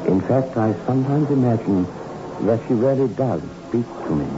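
A man's voice: mid-range voice, animated, moderate pace, loud music behind the voice, natural voice. An actor playing a middle-aged father who guards his troubled daughter, earnest and formal, in 1960s radio drama style. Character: grieving, pleading father guarding his deranged daughter on an island. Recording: muffled, noisy old 1960s radio recording.

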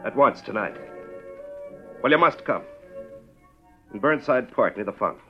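A dull old radio recording with prominent music behind a secretive man. He speaks in a medium-pitched voice, pressing and furtive. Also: lively intonation, measured pace. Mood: urgent, secretive. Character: urgent, secretive man phoning to arrange a meeting. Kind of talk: 1950s radio thriller acting.

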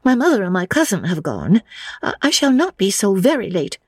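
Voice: medium pitch, sing-song, a little fast, breathy edge, no room sound, studio quality, pitched above the performer's own voice. A performer voicing an anxious, polite young woman who stammers and gasps in distress, as in a dramatic audiobook. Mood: flustered, apologetic. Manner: stammering, gasping. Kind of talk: dramatic audiobook character reading.

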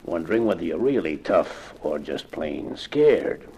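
An actor playing a suspicious, sarcastic police lieutenant who probes and insinuates, in a radio crime drama. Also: male voice, deep voice, big pitch swings, measured pace, gravelly, warm tone, exaggerated voice. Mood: suspicious, needling. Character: suspicious, needling hard-boiled male police lieutenant. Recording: noisy old 1950s radio recording.

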